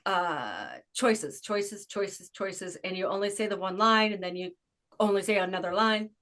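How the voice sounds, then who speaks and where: A woman's voice: mid-range voice, a little slow, a bit bright. Informal, irreverent woman hosting a chat. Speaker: talk show host. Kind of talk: casual chat.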